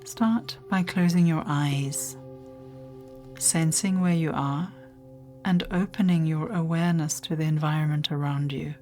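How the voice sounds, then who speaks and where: Low-pitched woman guiding meditation over music. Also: steady tone. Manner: soothing, gentle, unhurried with long pauses. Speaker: meditation guide. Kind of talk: guided meditation.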